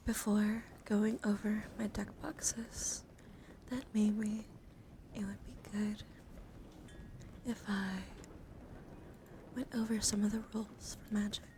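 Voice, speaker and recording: A woman streaming ASMR in a soft, breathy whisper, speaking unhurriedly and intimately close to the microphone. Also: medium pitch, level tone, takes it slowly, long pauses. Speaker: livestream host. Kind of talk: whispered ASMR livestream talk. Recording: close whisper with clear background noise.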